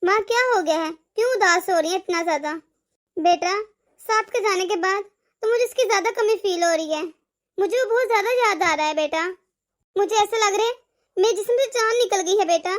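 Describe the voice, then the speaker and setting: Cartoon role: a proud, lonely mother, sorrowful and high-voiced, voiced by a woman. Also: fairly quick. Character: regretful, lonely, proud middle-aged mother. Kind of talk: animated family drama dialogue.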